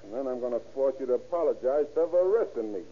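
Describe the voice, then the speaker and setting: A man's voice: mid-range voice, lively intonation, quick pace, lightly acted. An actor playing a defiant, streetwise burglary suspect with slangy American tough-guy talk in an old radio crime drama. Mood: defiant, indignant. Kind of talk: 1930s-40s radio crime drama acting. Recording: tinny old radio recording.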